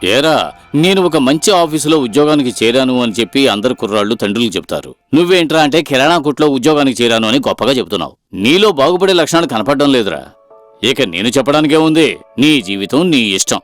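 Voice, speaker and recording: A man's voice: mid-range voice, expressive, fast talker, soft background music, lightly acted. A man voicing a stern middle-aged father in a cartoon moral story, bluntly scolding his grown son. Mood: disapproving.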